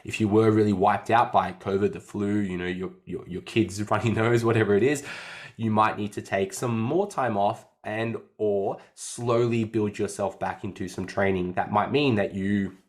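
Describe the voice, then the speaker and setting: A man hosts a casual fitness podcast in an upbeat, chatty way. His voice sits a bit low and still sounds slightly nasal after an illness, and he tends to repeat his phrases. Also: steady tone, normal speed. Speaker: fitness coach and podcast host.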